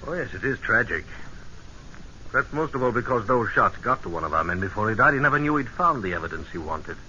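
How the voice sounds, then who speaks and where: Casting: intelligence officer, courteous but relentless, slightly low, crisp-edged, muffled old radio recording. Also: male voice, lively intonation, bright, forceful tone, a little hiss or hum. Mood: shrewd. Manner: polite, measured, probing. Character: British military intelligence captain, polite, shrewd, relentless interrogator. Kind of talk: 1940s-50s radio drama acting.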